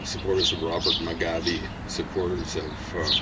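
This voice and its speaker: A man speaking in a very low register, rambling and halting with plenty of fillers as he chats casually. Loud background noise and bird calls run under his voice. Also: flat delivery. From Africa. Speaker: partner in a home vlog conversation. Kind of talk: casual opinionated chat.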